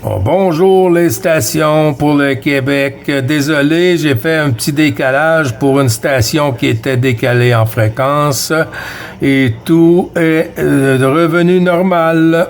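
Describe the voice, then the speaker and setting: A man's voice: on the high side, takes it slowly. A man with a Quebec French accent chatting casually as a CB radio operator, hesitant and repeating radio codes. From Quebec, Canada. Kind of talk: casual radio contact chat.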